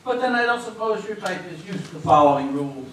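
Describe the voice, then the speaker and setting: A man's voice: high-pitched, expressive, a little fast. A man on stage playing a curt, dismissive and unhelpful border officer in an amateur readers theater. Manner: dismissive, dry. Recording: echoey hall from far off, faint noise.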